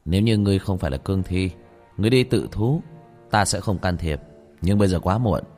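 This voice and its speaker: A man acts out a stern male hero of a fantasy audiobook, cool and unyielding. The voice sits low and firm, with faint music behind it. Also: animated, a little fast, a little mellow. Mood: cold, stern.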